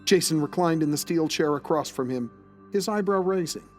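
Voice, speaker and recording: Male narrator, scripted dramatic storytelling, fairly high pitch, faint music bed. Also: normal speed.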